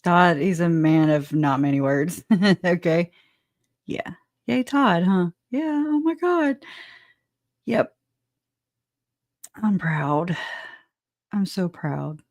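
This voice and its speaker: A woman's voice: fairly low pitch, lively intonation, takes it slowly, long pauses, a little mellow, no echo. Chatty woman hosting a dry livestream. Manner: chatty, laughing, rambling with restarts. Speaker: livestream host. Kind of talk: casual livestream chat.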